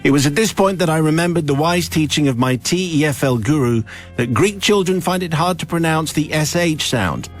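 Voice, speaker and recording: A man's voice: a little high, brisk, faint music behind. A man hosting a radio show, reading out a listener's letter and often laughing as he goes. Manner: reads aloud, often breaks into laughter. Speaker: radio host. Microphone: studio microphone.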